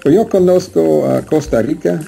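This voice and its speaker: A man with an American English accent, a Spanish learner, answering interview questions in a halting way with many fillers. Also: on the high side, creaky, dark tone, soft background music. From United States. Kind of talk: casual interview chat.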